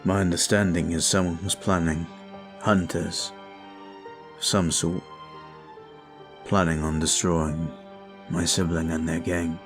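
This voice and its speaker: A man voicing a brooding male vampire who is weary and guilt-ridden, talking slowly and softly in an ASMR roleplay. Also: sits low, level tone. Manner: slow, soft, confiding. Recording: faint music behind.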